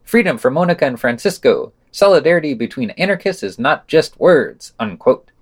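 A man's voice: fairly high pitch, sing-song, moderate pace, slightly rough. A man reading a scripted news roundup as a podcast presenter, adding wry, sardonic asides.

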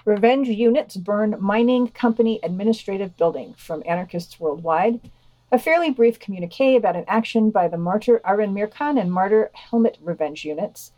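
A woman's voice: medium pitch, moderate pace, dark tone, no room sound, low-quality recording. A woman co-hosting a podcast, reading scripted news and quotations with dry, understated commentary.

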